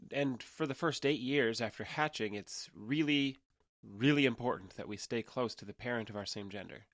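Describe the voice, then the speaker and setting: A male actor plays an alien who passes for a young American man in an audio drama. He is earnest and guarded, choosing his words with some hesitation and explaining things matter-of-factly. His middle-pitched voice sits in a very dry recording. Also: moderate pace, fairly bright tone, no room sound, lightly acted. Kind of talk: audio drama acting, naturalistic dialogue.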